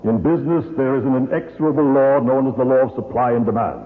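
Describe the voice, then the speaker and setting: An actor playing a coolly businesslike gentleman villain, formal and didactic, in an old radio mystery. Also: medium pitch, fast talker, close to an everyday voice. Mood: coolly matter-of-fact. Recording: narrow-band, noisy vintage radio.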